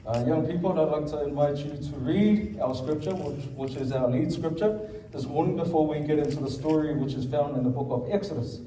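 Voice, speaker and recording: Samoan-accented preacher, echoey church. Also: male voice, fairly high pitch, fairly quick, warm tone, far from the mic, slight background noise. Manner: switches between Samoan and English, calls for amens. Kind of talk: bilingual sermon. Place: church hall.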